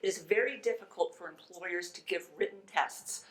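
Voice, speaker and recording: Halting, explanatory woman on a panel. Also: lowish voice. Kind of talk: explanatory remarks at a panel discussion.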